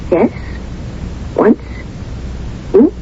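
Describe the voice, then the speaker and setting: An actress playing a smitten, candid young woman in a 1970s radio drama, romantic and assured. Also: drawn-out words. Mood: smitten, playful. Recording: dull, noisy old radio recording.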